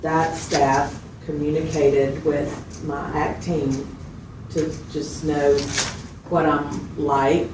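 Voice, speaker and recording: A woman playing a reserved mental health client, speaking in a plain, hesitant way. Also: low-pitched. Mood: earnest. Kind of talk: acted clinical interview. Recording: roomy, distant mic, noticeable background noise.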